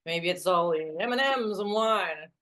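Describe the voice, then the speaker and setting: A woman's voice: lowish voice, moderate pace, a bit bright, lightly acted. A woman acting in a naturalistic drama as a wry, self-deprecating best friend who sighs, hesitates and teases. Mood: nostalgic, wry.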